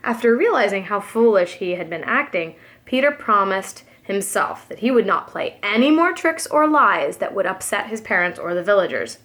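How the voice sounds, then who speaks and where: A woman's voice: mid-range voice, normal speed, hardly any pauses. A woman preschool teacher reading a storybook aloud expressively for young children. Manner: expressive, addresses young listeners.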